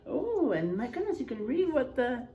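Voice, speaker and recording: A woman teacher reading aloud and chatting to young children in an expressive, encouraging, teacherly way. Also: sits high, moderate pace, slight background noise. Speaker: first grade teacher. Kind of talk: picture book read-aloud and chat to young pupils.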